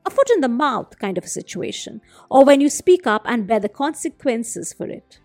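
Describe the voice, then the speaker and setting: A woman storyteller hosting a folktale show, narrating and commenting expressively with dramatic, deliberate phrasing. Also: fairly high pitch, very animated, darkish tone, dry recording, faint music behind. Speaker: storyteller and podcast host.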